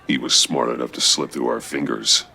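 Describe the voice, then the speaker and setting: Game role: stern masked male crimefighter, brooding and curt, forceful growl with heavy gravel. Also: rumbling low, fairly even intonation, normal speed, full character voice. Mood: stern, guarded. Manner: terse, stern. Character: brooding, stern masked vigilante hero, adult man. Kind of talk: dramatic video game acting.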